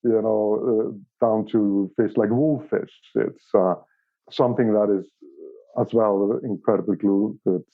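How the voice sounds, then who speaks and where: Phone-like thin audio, lowish male voice, Icelandic accent, halting casual interview answers, repeated words. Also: steady tone. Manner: halting, repeats words while searching for phrasing. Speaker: interview guest, company operations director.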